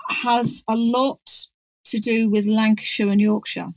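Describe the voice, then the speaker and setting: A middle-aged woman, explaining things informally in an animated, emphatic, digressive way. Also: a little high, unhurried, no echo, poor audio. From North Wales. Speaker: guest expert on Welsh language and poetry.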